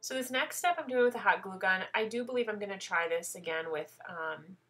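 A woman's voice: medium pitch, a little fast, a bit bright, faint music behind. A woman with a head cold explaining a craft project step by step in a chatty, self-deprecating tutorial voice.

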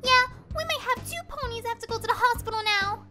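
A woman's voice: extremely high pitch, fast, music in the background. A woman voicing a hyper, bubbly young female party pony in a fan-made cartoon radio play, with bouncy, scatterbrained chatter. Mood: flustered.

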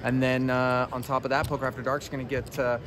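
A man in his forties speaks quickly in a mid-range voice. He chats casually with joking banter, picked up by a phone in a large hall. Crowd noise is clear behind him. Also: medium pitch, little pitch movement. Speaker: poker media ambassador and commentator. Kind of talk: casual chat. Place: large indoor poker tournament hall. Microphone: handheld phone camera at close distance. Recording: busy crowded hall background chatter.

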